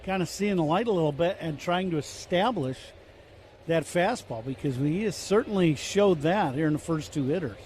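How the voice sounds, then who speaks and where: Rambling high-voiced male color commentator. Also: animated, moderate pace, a little mellow, a little hiss or hum. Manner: rambling, filler-heavy analysis. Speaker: radio color commentator and former player.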